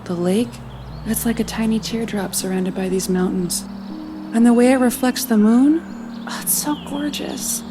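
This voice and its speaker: A woman's voice: fairly high pitch, normal speed, lightly acted. An actress playing a caring, determined woman leader in an audio drama, speaking in a frank, earnest and tender way. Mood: tender, awed. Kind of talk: naturalistic audio drama acting. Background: music.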